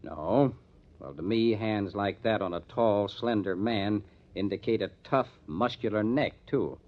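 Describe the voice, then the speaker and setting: An actor playing a hard-nosed, determined police detective in an old-time radio crime drama, speaking in a measured, emphatic way. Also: male voice, fairly low pitch. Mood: grimly determined. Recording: dull lo-fi old radio recording.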